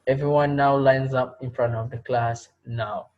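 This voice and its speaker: A man's voice: mid-range voice, steady tone, unhurried, lo-fi sound, close to an everyday voice. A man acting as a menacing male teacher who mocks and taunts the class he holds hostage, in an amateur reader's theater drama. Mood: commanding. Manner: taunting, laughs at his victims.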